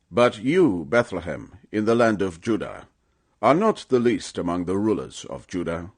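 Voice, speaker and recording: A man with a fairly low voice narrates scripture for an audiobook. His delivery is solemn and measured, with deliberate phrasing. The intonation is highly animated, swinging widely in pitch for dramatic weight.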